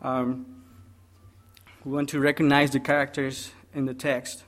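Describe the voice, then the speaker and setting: A man's voice: mid-range voice, flat delivery, a little slow, fairly bright tone. A young man from Peru, a graduate student, giving a technical conference presentation with many hesitations, explaining step by step.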